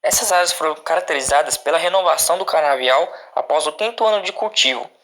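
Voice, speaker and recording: A man's voice: fairly high pitch, quick pace, a bit bright, no room sound. A man with a Brazilian accent reading a scripted academic presentation aloud as a student, in a formal, recited way with occasional stumbles.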